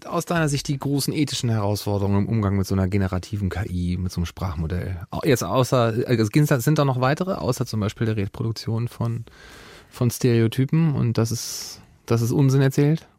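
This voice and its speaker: A man's voice: medium pitch, a little fast, darkish tone, no room sound, clean studio sound. A man hosting an interview podcast, asking questions hesitantly with restarts and repeated words. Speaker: podcast host. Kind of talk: conversational interview.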